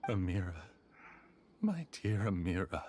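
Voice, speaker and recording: A man's voice: low voice, sing-song, a little slow, a little mellow, slight background noise. A man playing a broken, bitter scholar in a video game, grave and mournful, resigned to losing everything. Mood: grieving. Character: broken, bitter male scholar who has given up. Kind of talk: scripted video game voice acting. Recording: faint music behind voice.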